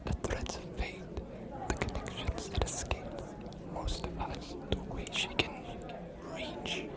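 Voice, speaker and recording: A man playing an ancient, aloof male vampire scholar in an ASMR roleplay, speaking in a formal, musing, courteous whisper. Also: natural voice. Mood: admiring, confiding. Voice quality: breathy whisper. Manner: measured, formal, musing, hesitant pauses.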